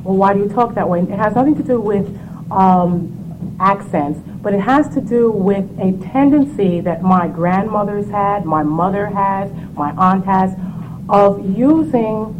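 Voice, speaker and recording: A woman from the West Indies speaking thoughtfully in a panel discussion, with many pauses and ums. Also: medium pitch. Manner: reflective, measured, with frequent ums. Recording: noisy room recording.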